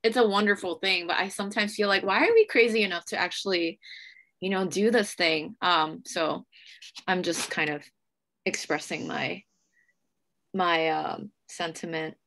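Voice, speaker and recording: Bright-voiced young woman moderating. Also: on the low side, moderate pace, poor audio. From Hong Kong. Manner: hesitant, self-deprecating. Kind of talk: casual live artist talk hosting. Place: small room at home. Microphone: video call.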